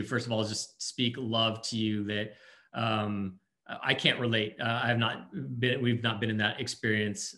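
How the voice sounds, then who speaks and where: Bright-voiced, earnest man. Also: fairly low pitch. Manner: earnest, gentle, frequent fillers. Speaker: conference session co-presenter. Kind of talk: casual conference Q&A answers.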